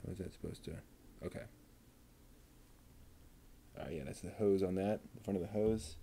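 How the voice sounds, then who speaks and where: A man's voice: deep voice, normal speed, creaky, noisy background. A man building a model kit on a live stream, muttering to himself and chatting casually with viewers as he works.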